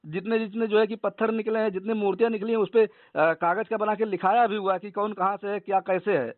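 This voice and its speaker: A man speaks in a high voice over a narrow, tinny phone line. He debates in an argumentative, insistent way and repeats his points. The sound is dry, like a recorded call. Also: fairly even intonation, fast talker, no echo. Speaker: organisation leader debating a caller.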